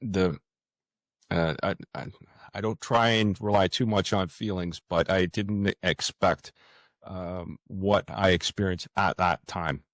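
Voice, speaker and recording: A man with a low, deep voice chats as a guest in an interview. He speaks haltingly, pausing and doubling back on his words. The sound is close and dry. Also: fairly even intonation, fairly bright tone, dry recording. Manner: hesitant, halting, with frequent pauses and repeated words. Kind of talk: casual interview conversation.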